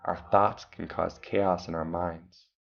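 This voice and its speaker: A man calmly guiding a meditation, giving slow breathing instructions with long pauses. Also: low-pitched, gravelly, highs cut off. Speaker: meditation guide. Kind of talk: guided meditation.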